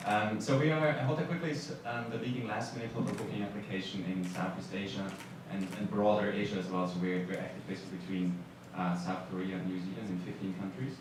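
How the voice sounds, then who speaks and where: Man, very low voice, halting speech with fillers, distant mic in a noisy reverberant hall. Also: steady tone. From Switzerland. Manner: hesitant, many fillers. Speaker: startup executive on a panel. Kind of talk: panel introduction. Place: conference hall. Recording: echoey hall from far off, noisy.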